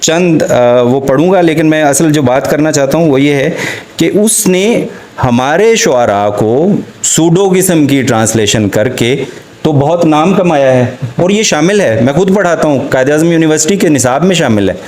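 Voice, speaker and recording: A man with a Pakistani accent giving an academic literature lecture, haltingly, reading out lines of poetry slowly and explaining them. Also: a little high, expressive, darkish tone. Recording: roomy, echoing sound.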